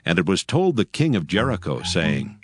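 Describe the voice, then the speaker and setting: A man giving scripted scripture narration in a measured, formal way. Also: low voice, sing-song, breathy edge, no echo, clean studio sound.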